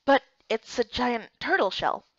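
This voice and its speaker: An earnest young fantasy heroine, voiced by a woman, tells her story with expressive drama. Her voice is fairly high and very animated, in a dry, slightly dull home recording. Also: a little slow, dry recording, heavily acted. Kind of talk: dramatic first-person visual novel reading.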